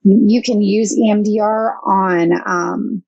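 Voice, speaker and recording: Brief: young adult woman, guest counselor, halting and thoughtful, dry mic. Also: mid-range voice, steady tone, gravelly, darkish tone, breathy edge, dry recording, muffled recording. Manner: thoughtful, hesitant with many fillers. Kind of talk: casual podcast interview.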